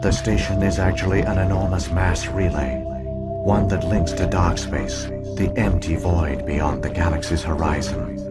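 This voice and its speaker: An actor voicing a damaged ancient AI archive system, speaking in a slow, solemn, measured way. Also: male voice, low-pitched, fairly even intonation. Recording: game audio with loud music.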